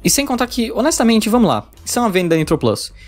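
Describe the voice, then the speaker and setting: A man's voice: sits high, big pitch swings, fast talker, faint music behind. A man with a Brazilian accent narrating a scripted video review as a reviewer of visual novels.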